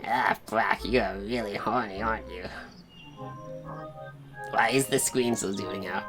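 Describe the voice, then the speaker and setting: A man voicing a casual, hot-headed, foul-mouthed cartoon boy hero, offhand and halting, in an improvised parody dub. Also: lowish voice, a bit bright, soft background music, voice pushed down.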